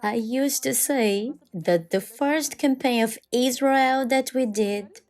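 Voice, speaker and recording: A woman voicing over a personal testimony in non-native English, speaking in short, deliberate phrases. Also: a little high, darkish tone, soft background music. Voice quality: non-native English with halting, irregular grammar. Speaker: voice-over translator of a church testimony. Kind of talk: dubbed first-person testimony narration.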